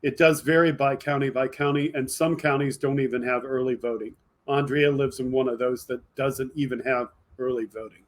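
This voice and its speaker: A man hosting a video podcast, delivering an impassioned, emphatic political monologue straight to his viewers, swinging between forceful outbursts and lower asides. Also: steady tone, normal speed, hardly any pauses. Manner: emphatic, fired up, addressing viewers directly. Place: indoor home studio with green screen.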